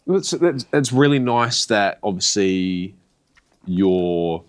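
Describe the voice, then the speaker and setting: A man's voice: on the low side, lively intonation, slow. A man hosting a casual interview, talking informally and supportively with loose asides. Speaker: podcast host, interviewer.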